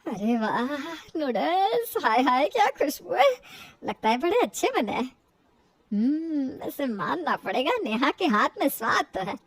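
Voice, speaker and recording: A woman's voice: high voice, sing-song, unhurried, dry recording, full character voice. A woman voicing a stubborn, elderly Indian mother-in-law who scolds and grumbles indignantly in a family storybook tale. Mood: pleasantly surprised. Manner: indignant, grumbling, talks to herself.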